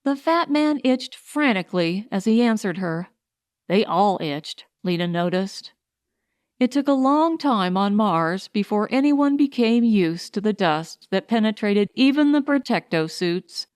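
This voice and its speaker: A woman's voice: medium pitch, animated, unhurried, darkish tone, smooth, no echo, studio quality. A woman reading scripted audiobook narration of a pulp science fiction story.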